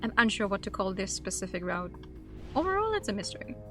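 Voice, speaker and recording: A woman's voice: medium pitch, sing-song, fast talker. A woman livestreaming a game, commenting casually and reading bits of text aloud as she chats with viewers. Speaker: streamer. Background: soft background music and game audio.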